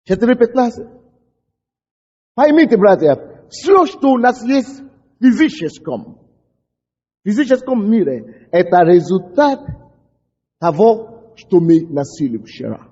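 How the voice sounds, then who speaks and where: A man's voice: sits high, sing-song, a little slow, long pauses, breathy edge. Male pastor, English native speaker, fervent sermon; dull, echoey church-hall recording. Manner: impassioned, shouting prayers, stumbling over words. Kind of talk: fiery sermon.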